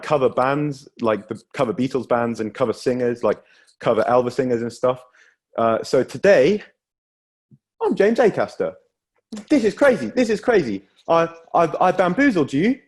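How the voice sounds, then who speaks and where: Young man from Wales, stand-up comedian, slightly high voice, self-deprecating, chuckling delivery, video call audio. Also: a little mellow. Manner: self-deprecating, laughing through his jokes. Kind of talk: stand-up set.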